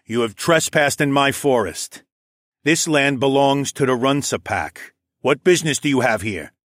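A man's voice: mid-range voice, quick pace, no room sound, natural voice. Male wolf shapeshifter , acted by a man: guarded, territorial, formally challenging. Fantasy audiobook, dry sound. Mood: stern, angry.

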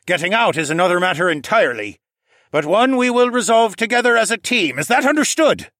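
A man's voice: high voice, big pitch swings, fairly quick, a bit bright, dry recording, clean studio sound, voice pushed up, full character voice. A man voicing a bold, theatrical raccoon pirate captain who gives emphatic orders in an audiobook. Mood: firm, authoritative. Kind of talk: audiobook character acting.

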